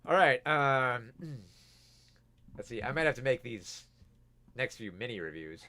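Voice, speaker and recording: A man's voice: mid-range voice, sing-song, a little slow, pushed and bright. A man hosting a casual film review show, rambling with many restarts while he is losing his voice. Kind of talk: casual video review, reading viewer comments.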